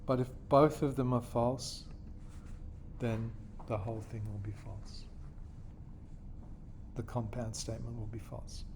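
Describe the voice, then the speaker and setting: A man from Australia teaches a lesson in a patient, step-by-step way. His voice sits at a middle pitch and he keeps checking that his students follow. A faint background noise runs under the recording. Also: fairly even intonation, measured pace, pauses often. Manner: patient, checks in with "okay?" and "yeah?". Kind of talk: classroom lesson explanation.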